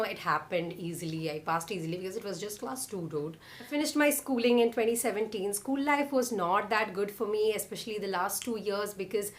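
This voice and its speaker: A woman's voice: medium pitch, slight background noise. A young woman with an Indian accent, telling her own story in a casual, chatty vlog and often using "like" and "you know". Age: young adult. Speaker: vlogger.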